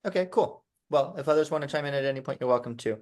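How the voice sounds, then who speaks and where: A man hosting a video call session, welcoming participants briskly. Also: fairly high pitch, darkish tone. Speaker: session host.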